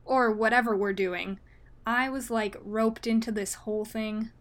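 A woman's voice: on the high side, fairly even intonation, a little slow, fairly bright tone. A young woman playing a reluctant, sardonic character in a comedic audio drama, talking bluntly and casually. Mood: defensive. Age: young adult.

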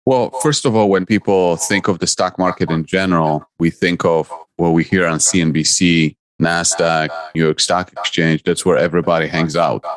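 A man's voice: low-pitched, steady tone, normal speed, hardly any pauses. A man, a guest investor answering interview questions earnestly in short listed phrases. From Poland.

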